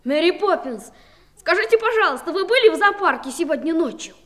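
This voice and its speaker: Insistent, very high-voiced little boy. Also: expressive, a little fast, a bit bright. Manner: childlike, insistent. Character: stubborn, eager little boy at home. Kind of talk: 1960s audio play acting.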